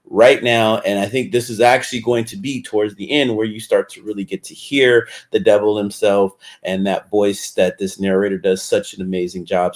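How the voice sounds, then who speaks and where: A man with a fairly low voice hosts in a casual, chatty style. He talks enthusiastically, with frequent ums and loose phrasing. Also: darkish tone. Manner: enthusiastic, filler-heavy talk. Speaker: host. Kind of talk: casual chat.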